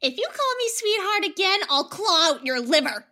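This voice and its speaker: A woman's voice: extremely high pitch, sing-song, normal speed, pushed and bright, full character voice. A woman voicing a tiny, ferocious, foul-mouthed creature with a haughty, threatening manner in a sci-fi comedy audio drama. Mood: furious, threatening. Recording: music behind the voice.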